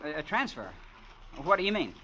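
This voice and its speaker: A man's voice: a little high, big pitch swings, brisk, bright, forceful tone, slight background noise. An actor playing a naive, eager young man from Missouri who stammers and talks in a folksy way, in 1940s radio acting. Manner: stammering, wide-eyed, folksy. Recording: dull old radio recording with music.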